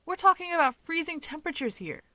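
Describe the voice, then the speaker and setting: A woman voicing an excitable, proud and huffy young winged male hero in a fan fiction audiobook, emphatic and argumentative. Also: very high voice, fast, no room sound, voice pushed up, exaggerated voice. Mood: indignant.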